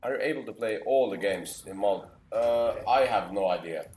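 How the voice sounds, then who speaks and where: A man's voice: lowish voice, normal speed, a little mellow, roomy sound, soft background music. A young man from Finland, a casino streamer chatting casually and answering viewers' chat messages. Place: small room indoors.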